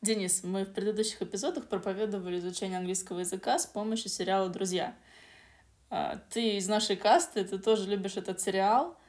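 A woman's voice: mid-range voice, fairly even intonation, quick pace, darkish tone. A woman co-hosting a podcast, talking in a chatty way with hesitant fillers. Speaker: podcast co-host. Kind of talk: casual podcast chat.

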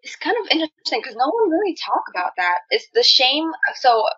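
A woman's voice: high-pitched, sing-song, brisk, fairly bright tone, breathy edge, lo-fi sound. A young adult woman from Vietnam with a Vietnamese accent, chatting candidly as a guest and telling personal stories with plenty of filler phrases like "you know". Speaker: podcast guest, marketing student. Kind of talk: casual personal storytelling in an interview.

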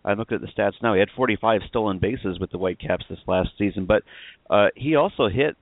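A man's voice: on the low side, a little fast, no room sound. A man hosting a sports podcast, chatting casually as an interviewer. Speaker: podcast host. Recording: narrow phone-like line, dry.

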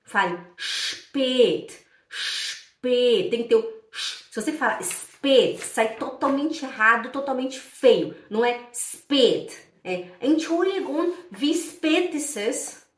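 Slow-paced Brazilian woman teaching, echoey room. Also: high-pitched, animated. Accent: Brazilian Portuguese, from Pará. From Belém do Pará, Brazil. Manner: didactic, repeats phrases slowly and deliberately; chatty, checks in with listeners often. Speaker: German language teacher. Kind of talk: informal language lesson. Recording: echoey room, distant from mic.

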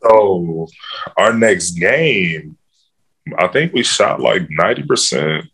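Male basketball coach, very deep register, easygoing interview answers with lots of "you know". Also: expressive, slow pace, creaky, fairly bright tone, husky. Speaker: first-year girls' basketball coach.

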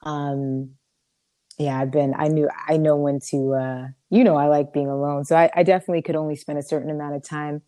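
A young woman with a raspy voice co-hosting a podcast, talking casually and emphatically, with many fillers. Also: flat delivery, brisk, dark tone. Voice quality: raspy, low voice. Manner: emphatic, preachy about self-growth, with fillers. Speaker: podcast co-host. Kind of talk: casual chat.

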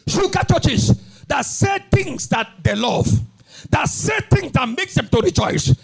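A man preaching a fiery sermon, shouting and chanting in a sing-song cadence, repeating phrases and breaking into tongues. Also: very high voice, big pitch swings, a little slow, gravelly.

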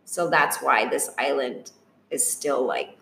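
A woman's voice: very deep voice, slow, gravelly, bright, forceful tone, echoey room, a little hiss or hum. A woman born in Africa, a guest in a casual chat, telling stories and laughing often. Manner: laughing, chatty storyteller.

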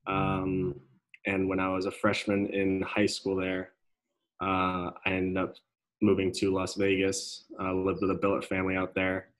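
A man's voice: deep voice, monotone, unhurried, rough recording. A young man from Denmark, a guest in a casual interview, who speaks hesitantly with many ums and restarts. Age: young adult.

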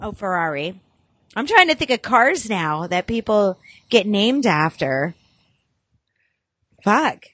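A woman's voice: medium pitch, sing-song, slow, long pauses, fairly bright tone, no echo. A woman co-hosting a casual podcast chat, swearing freely, reading things aloud and laughing with her co-host. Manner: laughing, profane, bantering.

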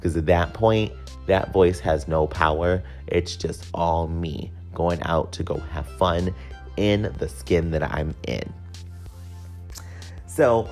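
Deep voiced guy doing a chatty personal podcast, candid, swears casually, bit of music behind him. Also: darkish tone. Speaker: podcast host.

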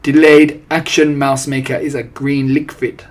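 A man's voice: medium pitch, level tone, a little slow, close to an everyday voice. A man voicing a disgusting, imperious old witch from a storybook, commanding and gloating in broken, foreign-sounding grammar. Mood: imperious, instructing. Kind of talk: storybook character voice.

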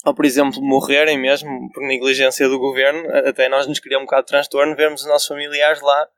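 Flat-voiced young Portuguese man. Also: mid-range voice, fast talker, clean tone, dry recording. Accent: European Portuguese. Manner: flat, sincere, personal. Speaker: podcast co-host. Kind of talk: casual podcast discussion.

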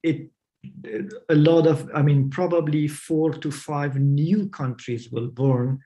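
Slow, halting man. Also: on the high side, steady tone, mellow. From Afghanistan. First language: not English. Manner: halting, many fillers and restarts. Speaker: interview guest. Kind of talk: remote interview answers.

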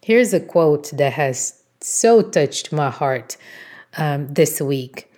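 A woman's voice: deep voice, lively intonation, slow pace, a little mellow. A woman whose native language is Portuguese, giving an encouraging motivational talk as a host, in an emphatic, instructive manner. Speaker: podcast host, motivational coach.

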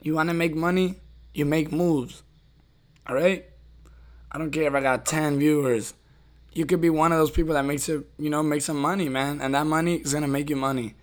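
A man's voice: on the high side, steady tone, fairly quick. A man hosting a casual podcast conversation, speaking directly and emphatically and often checking in after his points. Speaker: podcast host.

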